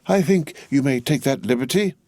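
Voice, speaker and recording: A man voicing a kindly older father who speaks calmly and soothingly. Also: mid-range voice, moderate pace, no room sound, pitched above the performer's own voice. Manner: calm, soothing.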